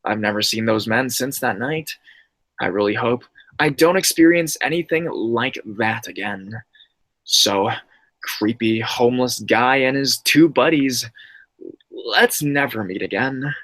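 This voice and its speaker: A man's voice: mid-range voice, expressive, unhurried, a bit bright, no echo. A young adult man who reads viewer horror stories aloud and then chats loosely with his live-stream audience, full of slang. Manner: slangy, loose and chatty, calls listeners bro and dude.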